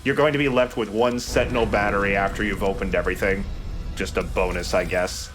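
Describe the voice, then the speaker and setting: A man's voice: fairly low pitch, fairly even intonation, brisk, fairly bright tone, faint music behind. A man hosting a let's play with casual, conversational commentary over a video game.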